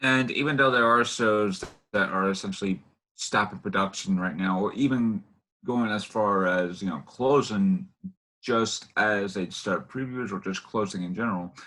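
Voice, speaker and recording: A man's voice: fairly low pitch, normal speed, bright, forceful tone. A man hosting an interview, phrasing his thoughts in a wordy, roundabout way. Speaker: interview host.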